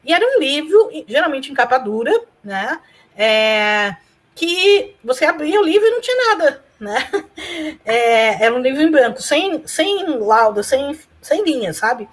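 A talkative woman from Argentina, Spanish her first language, with a fairly high voice. She rambles warmly through memories, laughing often and checking in with little tags. Recorded over a video call from a room at home. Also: very animated, measured pace. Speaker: guest, professor and blogger. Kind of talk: casual interview chat.

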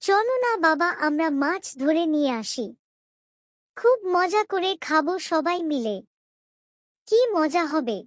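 Woman voicing a very high-pitched eager little village girl. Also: a little slow, pauses often, dry recording, studio quality. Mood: excited, pleading. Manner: excited, childlike, chatty. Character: eager young village girl talking to her parents. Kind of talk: Bengali cartoon fairy-tale voice acting.

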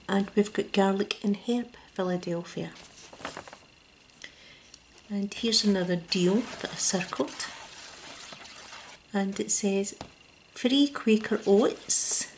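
Slow whispering Scottish woman. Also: mid-range voice, a little hiss or hum, low-quality recording. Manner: soft whispering, slow and gentle. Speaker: ASMR creator. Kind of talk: ASMR. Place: indoors at a table.

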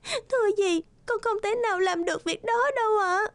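A woman's voice: very high-pitched, normal speed. A woman voicing a sweet, meek, kind-hearted young girl in a cartoon, polite and plaintive. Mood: distressed, plaintive.

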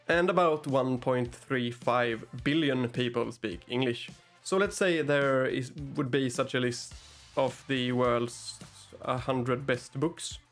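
Dry-witted Swedish man hosting a painting tutorial. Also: mid-range voice. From Sweden. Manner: deadpan sarcastic asides. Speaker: miniature painting channel host. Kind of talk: dry, joking tutorial narration. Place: small dim hobby room, indoors.

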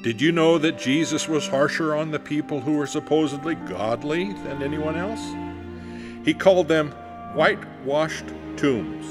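A man's voice: fairly high pitch, unhurried, lightly acted. An actor playing an earnest church class leader addressing his congregation in an audio drama. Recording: long hall reverb, faint music.

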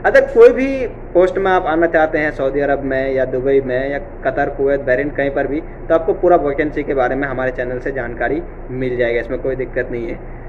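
A man's voice: mid-range voice, a little fast, lo-fi sound, a little hiss or hum. A young man hosting a job-vacancy channel, explaining things casually and repeating reassuring phrases, recorded in an indoor office room on a webcam. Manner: repetitive, reassuring, frequent filler "theek hai".

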